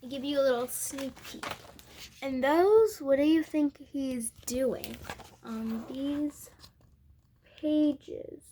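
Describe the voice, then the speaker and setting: A woman's voice: high-pitched, slow, dark tone, slight background noise. A young girl reads a picture book aloud expressively, stumbling now and then and correcting herself, with asides to viewers. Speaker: storybook reader.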